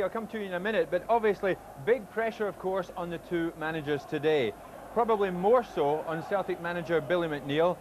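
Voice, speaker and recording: A man presenting a live football broadcast at a stadium, linking segments and naming arriving players in a brisk, informative way. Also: sits high. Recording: noisy broadcast with crowd behind.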